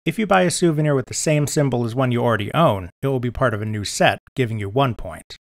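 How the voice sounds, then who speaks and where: A man giving scripted instructional narration in a clear, methodical, step-by-step manner, as a tutorial presenter. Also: medium pitch, no room sound, clean studio sound. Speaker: board game tutorial presenter.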